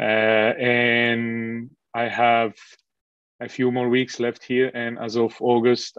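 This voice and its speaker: Man from Colombia, online lecturer, steady flat tone, lots of fillers, dull dry call mic. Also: lowish voice, dry recording. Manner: relaxed, with frequent fillers and restarts. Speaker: guest lecturer. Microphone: video call microphone.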